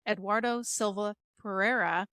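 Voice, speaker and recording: A woman's voice: medium pitch, moderate pace, bright, forceful tone, dry recording, clean studio sound. Upbeat woman hosting a podcast. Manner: upbeat, enthusiastic. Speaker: podcast host. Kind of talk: casual podcast interview.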